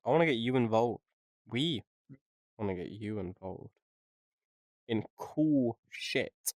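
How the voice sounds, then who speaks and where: A man's voice: mid-range voice, animated, slow pace, no echo, studio quality. A man co-hosting a casual unscripted podcast, joking and swearing as he rambles along. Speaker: podcast co-host and video editor.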